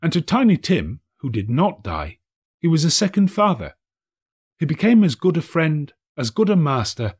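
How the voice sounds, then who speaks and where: A man narrating a classic story in a theatrical, expressive and emphatic scripted reading. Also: mid-range voice, husky. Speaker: audiobook narrator, actor.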